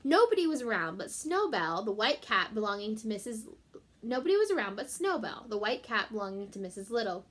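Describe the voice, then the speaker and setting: Bright-voiced woman reading to children. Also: fairly high pitch, fairly quick. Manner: expressive, simple teacherly explaining. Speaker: teacher reading aloud to pupils. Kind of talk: children's storybook read-aloud with chatty asides.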